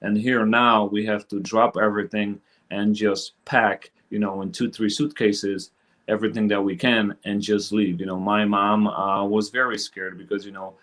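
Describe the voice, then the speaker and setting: A man's voice: fairly low pitch, level tone, normal speed, a bit bright. A middle-aged man from Poland, casually recollecting as an interview guest. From Poland, later Chicago from age twelve. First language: Polish. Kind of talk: casual personal recollection in conversation.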